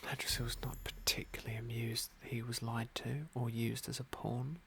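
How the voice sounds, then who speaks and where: A man softly narrating a fantasy game as an ASMR roleplay, unhurried and with formal, genteel phrasing. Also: medium pitch, flat delivery, vocal fry, slight background noise. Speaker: host of an ASMR game playthrough.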